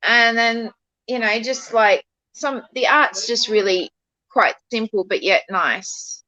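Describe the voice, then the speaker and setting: Australian woman, a touch high, dull dry audio. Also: slow, bright, forceful tone, dry recording. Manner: chatty, searches for words with fillers. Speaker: card reader, stream guest. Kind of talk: casual chat on a live stream.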